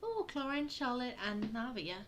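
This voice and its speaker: A woman giving casual, slangy reaction commentary as she plays a game, in an expressive way. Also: on the high side, normal speed, slight background noise. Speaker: video creator reacting to a game.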